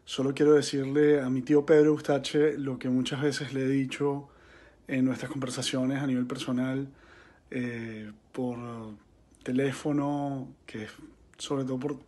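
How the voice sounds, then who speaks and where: A man giving an improvised, halting video message to his uncle. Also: medium pitch, level tone, warm tone. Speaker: guest's nephew sending a message.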